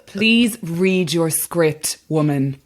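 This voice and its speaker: Creepy polite hostess in horror audio drama, slow measured voice, cool menace, bossy. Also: female voice, fairly low pitch, lively intonation. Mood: insistent, menacing. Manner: polite, composed, insistent. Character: coolly menacing, controlling hostess of a sinister castle ritual.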